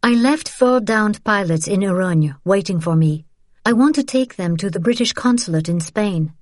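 A woman's voice: mid-range voice, expressive, moderate pace, a little mellow, no echo, studio quality, pitched above the performer's own voice, lightly acted. A woman voicing a brave but frightened young French woman resistance courier, pleading and insistent, for an audiobook. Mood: nervous resolve.

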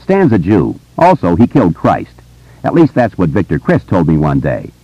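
A man's voice: deep voice, wildly swooping pitch, moderate pace, warm tone, dry recording, dull audio, full character voice. A man voicing a hyperactive, wisecracking boy show-off with a brash, teasing, theatrical manner in an audiobook. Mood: manic glee.

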